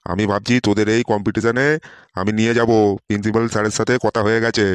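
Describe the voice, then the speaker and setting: A man's voice: lowish voice, flat delivery, fast talker, clear, resonant tone, dry recording, natural voice. A man voicing a blustering, easily exasperated male quiz teacher in a comedy cartoon, scolding and exclaiming. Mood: announcing.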